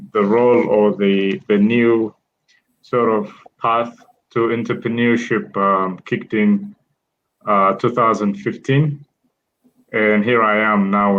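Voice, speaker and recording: A man in his thirties from Ethiopia, a guest in an online interview, speaking in a measured way with fillers over a video call. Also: fairly low pitch. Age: 30s. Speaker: guest entrepreneur. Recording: dull lo-fi call audio.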